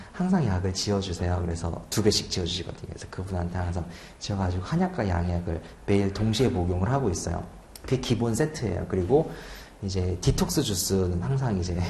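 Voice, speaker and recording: A man's voice: fairly low pitch, creaky, warm tone. A man in his twenties, an exam prep lecturer, chatting casually and laughing at himself during a lecture. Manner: chatty, self-deprecating, laughs often. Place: lecture room. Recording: echoey room, faint hum.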